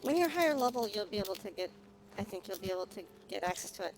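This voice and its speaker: A woman's voice: medium pitch, lively intonation, fast talker, slight background noise, poor audio. A woman streamer giving casual live gameplay commentary and chatting with viewers as she plays. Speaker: game streamer, VTuber. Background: game music.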